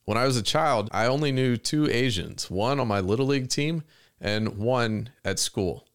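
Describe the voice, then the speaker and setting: A man's voice: medium pitch, normal speed, smooth, no room sound, clean studio sound. A man with an American accent, hosting a podcast with introductions and interview questions. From Richmond, Virginia, United States. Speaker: podcast host.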